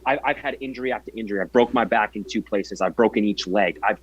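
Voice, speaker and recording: A man's voice: fairly low pitch, fast, dull audio. A man in his twenties, a guest athlete telling his story in an earnest, reflective way during a casual interview. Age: 20s.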